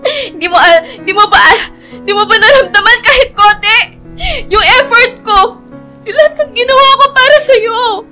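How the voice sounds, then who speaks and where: A woman's voice: extremely high pitch, moderate pace, sharp tone, soft background music. A woman playing a heartbroken young woman in a radio drama, crying and pleading bitterly. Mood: tearful pleading. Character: heartbroken young woman Shane, bitterly confronting the man she loves. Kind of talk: emotional Filipino radio drama acting.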